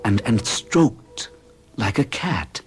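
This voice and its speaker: Young man telling a spooky story to his doctor, kinda hesitant, lively low voice, faint music. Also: takes it slowly. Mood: recounting. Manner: earnest and unsettled, hesitant. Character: young man troubled by strange events. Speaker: narrator and patient. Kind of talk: 1970s radio drama acting, narrating.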